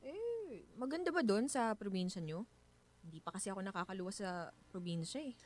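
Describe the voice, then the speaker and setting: A woman's voice: mid-range voice, sing-song, brisk, darkish tone. A woman acting as a curious, teasing married woman with a Filipino accent in a radio drama. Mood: prodding. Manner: teasing, inquisitive, chatty. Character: curious, playful, prying married woman hosting a guest.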